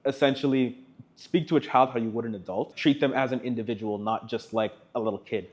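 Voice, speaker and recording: A teenage student from South Africa with an American accent, answering interview questions in a chatty way with lots of "like". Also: male voice, mid-range voice, a little fast. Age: teens. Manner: chatty, laughs between thoughts, lots of "like". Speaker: student guest.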